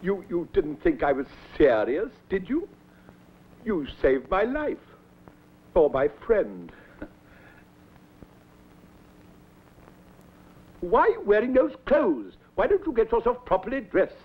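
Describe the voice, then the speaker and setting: An actor voicing a pompous, hot-tempered Egyptian lord who flies into shrieking tantrums, with a husky voice. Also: male voice, sits high, huge pitch swings, unhurried, heavily acted. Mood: coaxing. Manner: swings from languid ease into shrieking tantrums. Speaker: master of the house. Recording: dull lo-fi film soundtrack.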